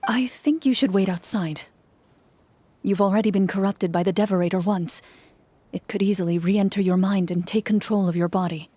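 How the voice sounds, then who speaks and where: A woman's voice: mid-range voice, steady tone, mellow, lightly acted. Woman as solemn, composed fantasy game champion. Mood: stern concern. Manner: measured, solemn. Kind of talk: fantasy game narration and acting.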